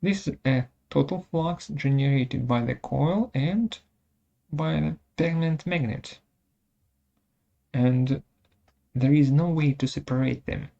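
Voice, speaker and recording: Slow, gravelly software tutorial presenter of unclear sex. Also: medium pitch, dull audio. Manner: halting, step-by-step phrasing in short pieces. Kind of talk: technical tutorial demonstration, narrated step by step.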